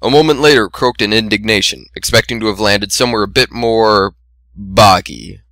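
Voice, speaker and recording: A man's voice: fairly low pitch, normal speed, sharp tone, no echo. A man giving a dramatic narration of a story as an amateur fan fiction reader.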